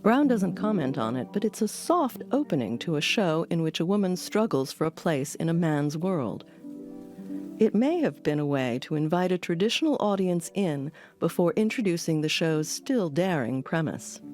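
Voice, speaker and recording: Low-pitched woman narrating over music. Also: sing-song, normal speed, darkish tone. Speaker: documentary host and narrator. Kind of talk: scripted documentary narration.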